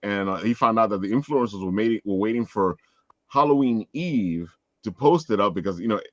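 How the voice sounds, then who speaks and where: A man's voice: lowish voice, brisk. A man hosting a casual live panel chat over an online call. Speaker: podcast host. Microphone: online video call. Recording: roomy echo, dull lo-fi sound.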